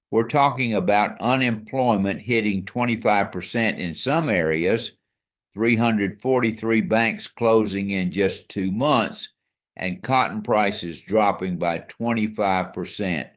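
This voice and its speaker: A man with a fairly low voice co-hosts a scripted history podcast. He explains each fact with emphasis and leans into the surprising details, as if letting the listener in on a secret. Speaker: co-host.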